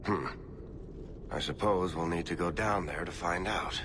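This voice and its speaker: An actor playing a wry, wary, profit-minded dwarf adventurer in a video game, with an understated way of talking. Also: male voice, low-pitched, a little slow, background noise.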